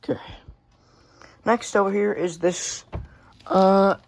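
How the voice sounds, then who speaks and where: A man's voice: high-pitched, steady tone, slow, vocal fry. A teenage boy casually showing his weather radio collection at home, rambling and often correcting himself. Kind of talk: casual show-and-tell.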